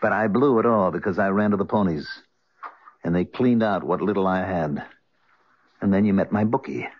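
An actor playing a sardonic betrayed husband with wry, hard-boiled delivery in a 1970s radio drama. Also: male voice, deep voice, moderate pace, dull audio. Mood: coldly accusing. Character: bitter, sardonic betrayed husband turning the tables. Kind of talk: 1970s radio drama acting and first-person narration.

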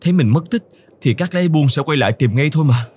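A man voicing an excitable, self-pitying young male camel in an animated fairy tale, exclaiming and talking to himself. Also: on the high side, quick pace, background noise, natural voice. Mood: anxious, self-reassuring. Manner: exclamatory, whining, talks to himself.

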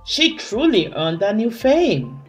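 Very slow, expressive woman storyteller. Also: mid-range voice. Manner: expressive, dramatic, deliberate. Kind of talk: children's story read-aloud. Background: soft music.

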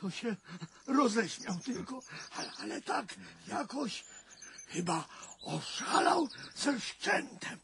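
An actor playing a worried informant at an old manor in a radio drama. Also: male voice, very high voice, sing-song, takes it slowly, creaky, noisy background, heavily acted. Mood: alarmed.

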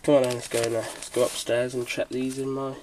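A man's voice: mid-range voice, flat delivery, quick pace. A man presenting a casual collection vlog at home, talking into a camera microphone. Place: small cluttered room at home. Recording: noisy home camera audio.

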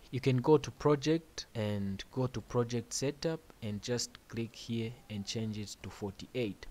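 Guy explaining daw settings step by step, plain steady voice, home studio voiceover. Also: mid-range voice. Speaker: music production tutorial host. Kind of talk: screen-recorded software tutorial.

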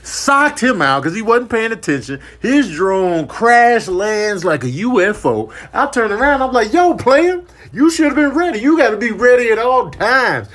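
A man's voice: very high-pitched, big pitch swings, normal speed, few pauses, fairly bright tone, pitched above the performer's own voice, heavily acted. A man voicing a vain, swaggering stallion in slangy comedic animal voiceover, boasting without remorse. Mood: cocky, unrepentant. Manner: cocky slangy street banter.

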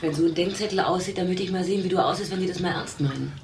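An actress playing a caring, teasing woman who scolds gently in a radio crime drama. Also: lowish voice, very fast, lightly acted. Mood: exasperated, teasing. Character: caring, teasing woman, exasperated with her stubborn man. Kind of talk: German crime radio play acting. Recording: echoey, distant, noisy radio play.

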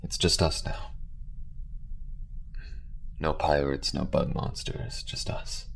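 A man voicing a weary, tender, roguish alien space courier in soft, intimate audio roleplay. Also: steady tone, slow pace, a little hiss or hum, exaggerated voice. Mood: warm, adventurous. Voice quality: deep, gravelly.

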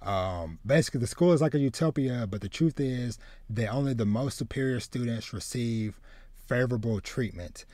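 Podcast host, male, 30s, mid-range voice, halting repeated starts, conversational, dry recording. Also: medium pitch, darkish tone, no room sound. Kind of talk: casual chat about anime and manga. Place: small home room with shelves, video call.

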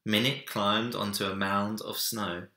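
A young man narrating a children's storybook with dramatic, deliberate phrasing in a room indoors. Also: fairly low pitch, little pitch movement, pushed and bright. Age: young adult. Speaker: story reader. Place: small home room, indoors. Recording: roomy echo.

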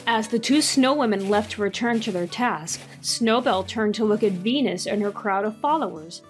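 A woman narrating a storybook audio drama in a gentle, even way. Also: medium pitch, normal speed, soft background music. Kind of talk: scripted narration.

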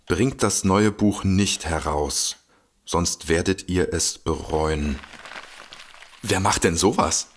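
A man's voice: deep voice, takes it slowly, a little hiss or hum. An actor playing a sardonic, easily exasperated male detective in a comedic audio drama. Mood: grave, puzzled.

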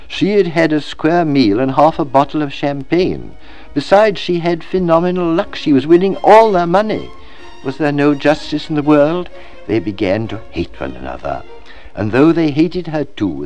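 A man reading literary fiction aloud as scripted narration, in a measured, dramatic storytelling manner. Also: a little high, darkish tone. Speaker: audiobook reader.

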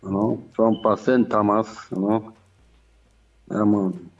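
A man's voice: on the low side, flat delivery, slow pace. Older male guest, Jamaican accent, Rastafarian priest, casual and reverent, distant, slightly muffled recording. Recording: distant, slightly dull remote sound.

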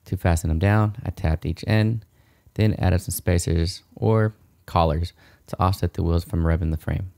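Low, gravelly, mellow man narrating DIY. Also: steady tone, moderate pace, dry recording. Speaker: DIY video host. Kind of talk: casual how-to narration.

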